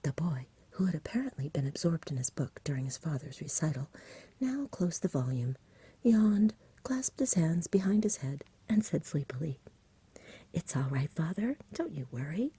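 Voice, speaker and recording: A woman giving a soft-spoken, breathy, whispered ASMR reading of a bedtime story in a gentle storybook manner. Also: sits low, sing-song, normal speed.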